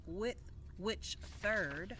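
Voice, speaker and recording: Woman, medium pitch, deliberate emphatic reading, casual asides, noisy vehicle ambience. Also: lively intonation. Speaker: video creator reading an essay aloud. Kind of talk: read-aloud written text with casual off-the-cuff asides.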